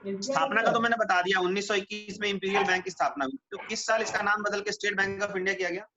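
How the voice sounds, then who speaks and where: A man's voice: high-pitched, fairly even intonation, quick pace. A man teaching a class in a conversational question-and-answer style, often checking that students follow. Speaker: teacher at a defence exam coaching class. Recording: muffled classroom recording with background noise.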